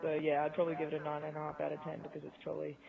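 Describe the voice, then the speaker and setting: A man's voice: fairly high pitch, completely flat delivery, very fast, creaky, narrow, tinny audio, over music. A man in a casual gaming chat over an online voice call, with game audio behind him. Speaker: podcast guest. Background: video game audio.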